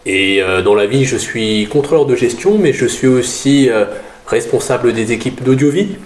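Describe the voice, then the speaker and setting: A man's voice: medium pitch, moderate pace, darkish tone. A man in his thirties from the Paris region, a guest telling his faith testimony in an earnest, explanatory way. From Paris region, France. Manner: earnest, explanatory, with many "euh". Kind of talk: interview testimony. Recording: roomy sound with faint background noise.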